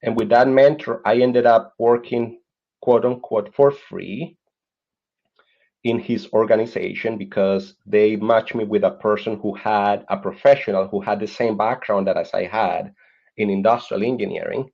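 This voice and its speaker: A man's voice: lowish voice, fairly even intonation, normal speed, vocal fry. A man from Colombia with a Colombian Spanish accent, in his thirties, earnestly telling his life story as a podcast guest and often repeating words while he searches for them. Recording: dull lo-fi sound.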